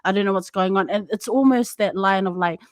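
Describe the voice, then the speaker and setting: A woman host shares her views in a relaxed interview, her voice sitting in a middle pitch. She speaks in a measured, reflective way, pausing on fillers. The recording is dry and close, with no room sound. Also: no room sound. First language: Samoan speaker alongside English. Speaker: podcast host. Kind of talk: casual interview talk.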